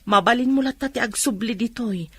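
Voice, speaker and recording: A woman's voice: on the high side, a little fast, fairly bright tone, husky, dry recording. An actress with an Ilocano accent playing a caring, anxious middle-aged village mother in a radio drama, tender and full of caution. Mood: cautioning, supportive. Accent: Filipino, Ilocano. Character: caring, anxious rural mother of poor family.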